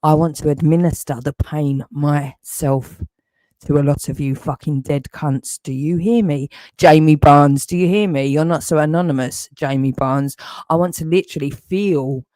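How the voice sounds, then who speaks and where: A man's voice: a little high, darkish tone, no room sound. A man, delivering a furious, threatening rant full of swearing and repeated questions. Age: 40s.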